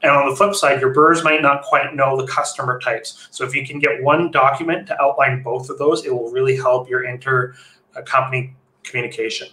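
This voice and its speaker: Bright-voiced man teaching over a webcam. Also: medium pitch, fairly even intonation, fairly quick. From Canada. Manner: informal, with fillers and restarts. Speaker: brewery consultant presenting a webinar. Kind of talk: slide presentation lecture. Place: home room, indoors. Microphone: webcam video call. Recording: echoey room on a webcam mic.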